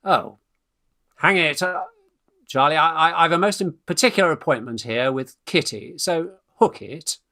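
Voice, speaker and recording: An actor playing a flustered young Victorian gentleman in a radio farce, British, stammering and exclamatory, eager in courtship and bossy with friends. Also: fairly high pitch, normal speed. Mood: impatient, scheming. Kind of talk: stage farce acting for radio play.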